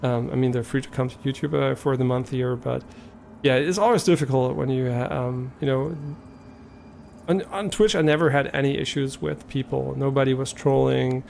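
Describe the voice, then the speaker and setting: A man's voice: medium pitch, steady tone, moderate pace, creaky, a little mellow, slight background noise. A young man with a German accent, talking casually on a live stream, hesitant and rambling with many fillers and restarts.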